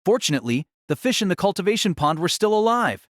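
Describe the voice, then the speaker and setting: A woman voicing a mild, modest teenage boy in an audio drama, soft-spoken and accommodating. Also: mid-range voice, very animated, fast talker, no echo, clean studio sound. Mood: mild, obliging. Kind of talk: anime-style audio drama acting.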